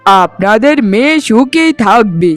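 A man's voice: sits high, animated, measured pace, no echo, faint music behind. A man voicing a polite landlord, a protective elder brother, in a cartoon. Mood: pleased. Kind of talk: cartoon dialogue.

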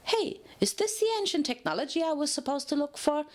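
A bubbly young cartoon mare, acted out by a woman narrator. She talks in a high, chirpy, excitable way and sounds carefree and relentlessly cheerful. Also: a little fast. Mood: excited. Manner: sing-song, chirpy, excitable.

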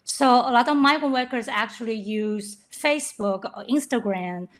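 A woman answers a question as a webinar panelist over a video call. Her voice is slightly high, and she speaks in a measured way, sometimes repeating a word before going on. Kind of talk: webinar discussion.

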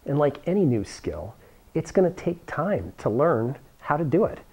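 A man's voice: fairly high pitch, sing-song, normal speed, darkish tone, breathy edge. A man, giving a plain-spoken, encouraging how-to demonstration to camera as an instructor. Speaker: science toy-making instructor. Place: indoor workroom with cabinets.

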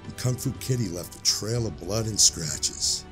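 An actor playing a world-weary old police sergeant in an audio drama, with brooding, measured delivery over background music. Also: male voice, on the low side, lightly acted. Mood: somber. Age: older. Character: grizzled, street-hardened old police sergeant, world-weary, days from retiring. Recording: music bed under the voice.

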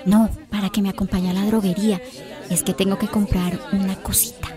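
A woman's voice: mid-range voice, little pitch movement, brisk, over music, lightly acted. An actress playing a lovestruck, naive seventeen-year-old Colombian schoolgirl in a radio soap opera, gushing and girlish. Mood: secretive, tense.

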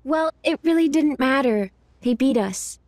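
A woman's voice: high-pitched, lively intonation, a little slow, a little mellow, dry recording. A woman playing an earnest young member of a hero team in a video game. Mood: serious. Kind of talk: video game cutscene acting.

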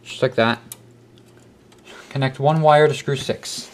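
A man's voice: mid-range voice, steady tone, takes it slowly. A man narrating a casual how-to demonstration step by step, in an instructional way, on a handheld camera. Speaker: host of a hobby electronics how-to video. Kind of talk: casual hands-on tutorial narration. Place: cluttered indoor workshop or utility room. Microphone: handheld camera microphone. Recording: clicks and clear background noise, handheld camera.

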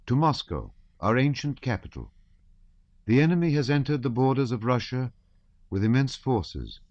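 A man voicing an earnest young woman who reads aloud carefully in a high-pitched voice. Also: very animated, moderate pace, a little mellow, dry recording, muffled recording, natural voice. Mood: solemn reading.

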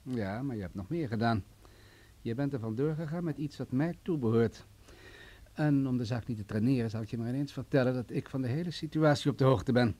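An actor playing a menacing, wealthy crime boss in a radio play, coolly sadistic, condescending and mocking. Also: male voice, medium pitch, fairly quick, dark tone, natural voice. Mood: cool, commanding. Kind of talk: vintage Dutch radio play acting.

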